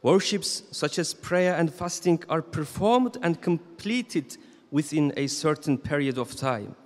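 A man in his thirties giving a Friday sermon as imam, reading from notes in a measured, solemn way at a stand microphone in a large mosque hall. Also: fairly high pitch, lively intonation. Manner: measured, solemn reading with slow emphatic phrases. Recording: long reverb of a mosque hall.

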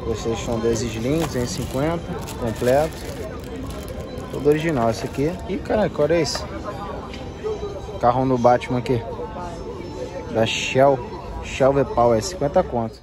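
A man with a Carioca accent vlogging from an outdoor flea market, talking excitedly in quick slangy bursts and exclaiming often. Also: mid-range voice. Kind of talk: casual handheld market vlog. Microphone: phone camera held in hand.

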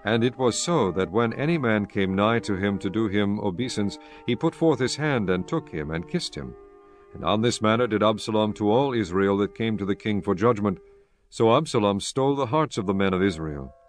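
Man, deepish voice, measured scripted narration, solemn, soft music underneath. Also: lively intonation.

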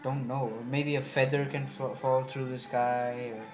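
A man's voice: medium pitch, level tone, moderate pace, echoey room, over music. A young man rambling and goofing around with a friend in a small bedroom. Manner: goofy, rambling, playfully defensive. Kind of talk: casual chat.

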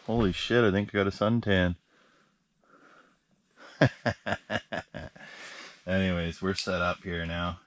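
A man with a very low voice talks casually on a frozen lake. He teases his friends, swears lightly and laughs a lot. He is caught on a camera mic outdoors. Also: fairly even intonation, takes it slowly, long pauses. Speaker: vlog host.

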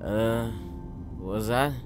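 A man playing a gentle, unsure, tipsy older brother with a hesitant delivery in an audio roleplay. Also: lowish voice, extremely slow, soft background music. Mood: hesitant, confused.

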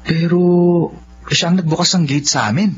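A man's voice: on the high side, moderate pace, fairly bright tone, natural voice. A man playing a polite, earnest young adult son in Filipino radio drama style. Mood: surprised, friendly. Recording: dull audio with faint noise.

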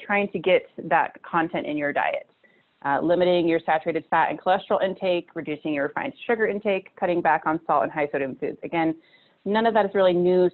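A woman in her thirties giving a casual nutrition talk over slides, chatty, with many fillers and restarts, from a small room at home. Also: on the low side. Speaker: nutrition presenter. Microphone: video call webcam. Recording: tinny phone-like video call audio.

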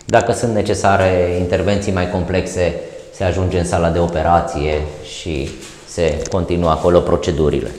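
A middle-aged man with a Romanian accent, a doctor, explaining clinic procedures during a tour in a measured, hesitant way. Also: low voice, steady tone, a little mellow, slight background noise. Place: clinic corridors and lab, indoors. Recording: echoey clinic rooms.

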